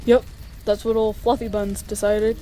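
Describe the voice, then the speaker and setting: A woman's voice: mid-range voice, level tone, normal speed, warm tone, slight background noise, lightly acted. A woman voicing a tired, easygoing male skeleton older brother in a comic dub, speaking casually. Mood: reassuring, easygoing. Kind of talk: animated comic dub acting. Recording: music behind the voice.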